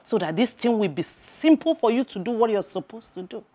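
A woman's voice: fairly high pitch, lively intonation, a little fast, dark tone. An actress playing a stern, imperious mother-in-law with a Nigerian accent, scolding and commanding in a film drama. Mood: suspicious, reproachful.

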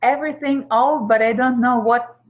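A woman's voice: a little high, steady tone, normal speed, muffled recording. A woman interviewer asking polite, encouraging questions in tentative phrasing.

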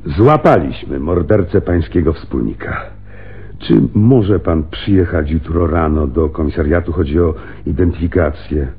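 An actor playing a seasoned, wry and commanding police lieutenant in a Polish radio play. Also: male voice, a bass voice, a little slow, breathy edge, narrow, tinny audio, heavily acted. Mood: measured.

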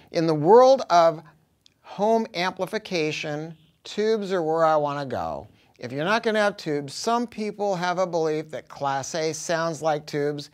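An American man in his sixties presenting audio gear to camera in a blunt, casual, emphatic way. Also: a little high. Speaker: audio dealer presenting products. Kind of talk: casual promotional product talk to camera. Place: small acoustically treated listening room.

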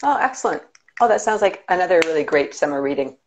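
A woman's voice: on the low side, animated, fast, a little hiss or hum. A middle-aged woman hosting an artist conversation on a video call, friendly and encouraging. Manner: friendly, encouraging listener with frequent affirmations. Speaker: host. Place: indoor room with paintings. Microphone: video call webcam.

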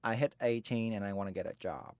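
Low-voiced Vietnamese-accented young man, earnest. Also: darkish tone, clean tone, no echo, clean studio sound. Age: 20s. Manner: earnest, halting, many restarts. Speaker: interviewee. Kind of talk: personal storytelling conversation.

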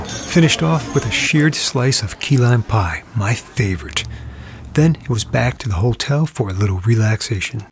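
A middle-aged man reads his travel vlog narration in an upbeat, easygoing way. His voice sits at a middle pitch, and a faint hiss runs under the recording. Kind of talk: scripted voice-over narration.

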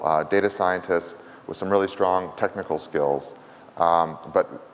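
A middle-aged man with a very low voice talks informally on a conference stage. His delivery is hesitant and full of pauses, heard in a reverberant hall with faint background noise. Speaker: conference co-presenter. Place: large conference stage, indoors.

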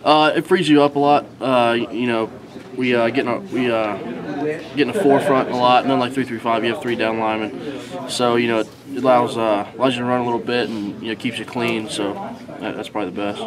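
A young man as a college football player, answering reporters in a halting way with many fillers and restarts, recorded on handheld recorders held close to him. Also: medium pitch, steady tone, a loud crowd. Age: young adult. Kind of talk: post-practice media scrum answers. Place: indoor hallway, crowd of reporters.

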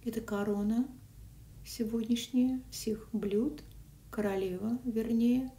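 A woman narrating a casual food and travel vlog in a soft voice that sometimes drops to a whisper, recorded on a phone. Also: on the high side, steady tone, takes it slowly. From Russian-speaking country. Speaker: vlogger. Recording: echoey, from a distance, faint noise.

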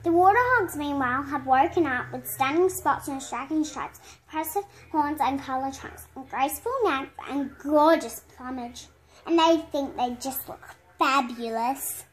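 A girl of about eight reads a picture book aloud. Her voice is high and childlike, and she takes the words slowly. The reading is halting, with stumbles along the way. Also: poor audio. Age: child, 8 years old. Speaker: young reader. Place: indoor room at home.

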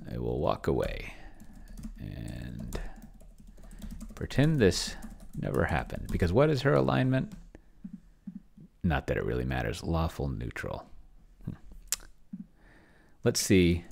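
Middle-aged male streamer, very deep and slow, casually muttering through his thoughts in stops and starts. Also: creaky, darkish tone, a little hiss or hum. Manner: halting, murmuring word by word as he types. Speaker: host of a solo roleplaying experiment stream. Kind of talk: casual thinking aloud while typing and reading from screen. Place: indoor home room. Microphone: desk microphone close to the mouth.

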